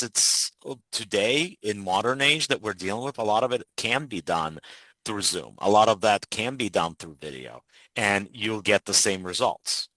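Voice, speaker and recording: Man, podcast host, deep voice, bright forceful tone, dry close sound, earnest and persuasive. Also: no room sound, low-quality recording. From Ukraine. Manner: earnest, persuasive, with frequent restarts. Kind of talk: casual interview chat.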